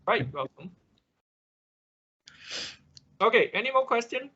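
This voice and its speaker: A man's voice: extremely high pitch, sing-song, fast talker, pushed and bright, breathy edge, lo-fi sound. A man working as an instructor in an online class, answering questions in a hesitant way, often checking with short confirmations. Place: online class over a computer call.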